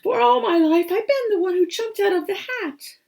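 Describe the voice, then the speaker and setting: A woman's voice: extremely high pitch, normal speed, vocal fry, darkish tone, slight background noise, voice pushed up. A woman voicing a small, ashamed magician's rabbit in a storybook, with a muffled, plaintive, sniffly voice. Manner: plaintive, whispery confessing.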